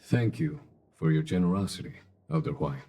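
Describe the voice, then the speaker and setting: An actor playing a calm, courteous general in a video game, diplomatic and wryly good-humored. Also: male voice, low-pitched, moderate pace. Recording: game music behind the voice.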